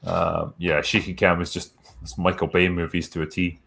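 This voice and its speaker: A man's voice: low-pitched, moderate pace, vocal fry, breathy edge. A man hosting a casual podcast chat, reading out viewer questions. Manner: relaxed, reads viewer questions aloud. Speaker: host.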